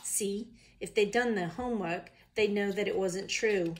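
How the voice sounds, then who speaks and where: A woman's voice: mid-range voice, measured pace, natural voice. Woman putting on an indignant koala, attempted Australian accent. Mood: exasperated. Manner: blunt, grumbling, emphatic. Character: exasperated, indignant cartoon koala. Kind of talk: children's picture book read-aloud.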